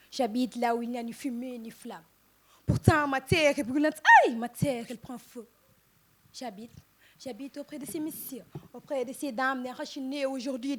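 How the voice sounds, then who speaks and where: A woman from southern Senegal with a Senegalese French accent, reciting poetry in an impassioned, emphatic manner with dramatic pauses. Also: sits high. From Casamance, southern Senegal. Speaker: poet reciting at a poetry contest. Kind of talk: declaimed slam poetry recitation.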